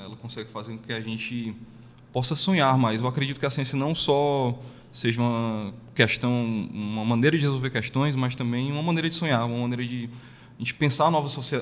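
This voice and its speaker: Thoughtful man in a reverberant room. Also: medium pitch. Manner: thoughtful, hedging, builds by repetition. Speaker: panel guest. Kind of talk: reflective panel answer. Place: makeshift studio at a university campus event. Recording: long reverb, faint ambient noise.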